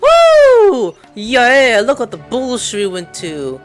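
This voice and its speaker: A woman's voice: on the high side, wildly swooping pitch, takes it slowly. A woman giving casual let's play commentary, blunt, sweary and reactive. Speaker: gaming streamer. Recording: game music behind.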